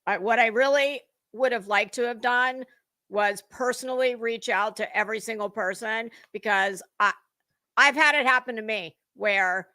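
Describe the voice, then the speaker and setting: A woman with a bright, forward voice chats at an unhurried pace as a livestream host. She speaks informally and wanders from one remark to the next. The sound is dry and close, with little room around it. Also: mid-range voice, no room sound. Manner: chatty, rambling, informal. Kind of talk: casual livestream chat, greeting viewers.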